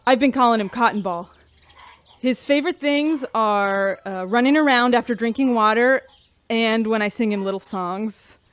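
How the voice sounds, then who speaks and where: A woman's voice: a little high, moderate pace, natural voice. A woman playing a shy, earnest animal keeper on a starship in a sci-fi radio drama, rambling hesitantly. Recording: faint ambient noise, staged barn.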